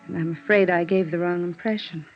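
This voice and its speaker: Actress as a creaky-voiced American noir woman. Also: fairly low pitch, fairly even intonation, a little fast, slight background noise, close to an everyday voice. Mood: wistful, hurt. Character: wounded, soft-hearted woman of radio noir. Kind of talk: 1950s radio drama acting. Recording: dull vintage radio recording, faint music.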